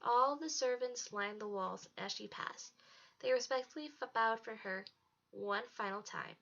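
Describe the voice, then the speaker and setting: High-voiced woman reading a story aloud. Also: a little slow, creaky, a bit bright. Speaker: narrator. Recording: dull lo-fi home recording.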